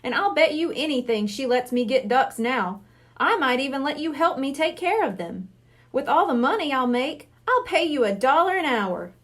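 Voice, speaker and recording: A woman's voice: high voice, animated, moderate pace. A woman reading a storybook as a stubborn, carefree young boy with an offhand, defensive way of talking. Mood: offhand, smug.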